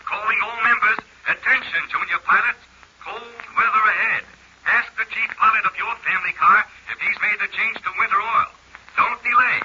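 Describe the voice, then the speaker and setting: A brisk American radio dispatcher in a 1940s programme advert. His high, piercingly bright voice calls out clipped, official bulletins as if over a radio set. The recording is old, narrow and faintly noisy. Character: brisk male radio dispatcher, friendly filling-station man.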